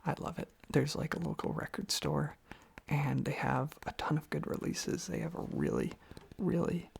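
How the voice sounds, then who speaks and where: Someone rambling softly in a breathy, husky ASMR whisper close to the microphone, casually repeating little phrases. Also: mid-range voice, steady tone, moderate pace, a little hiss or hum. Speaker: ASMR video creator.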